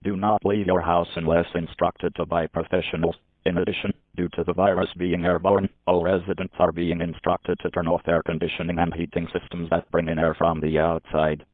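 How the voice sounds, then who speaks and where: A low-voiced man delivers a scripted emergency warning with almost no rise or fall. His manner is formal and impersonal. The audio is thin and narrow like a phone line, with no room sound around it. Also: no room sound. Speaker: emergency alert announcer.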